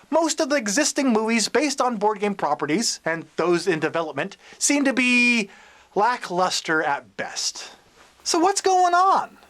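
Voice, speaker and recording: A man's voice: high voice, fairly bright tone. A man hosting a scripted, humorous commentary with wry, theatrical emphasis. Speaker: host of a board game commentary show.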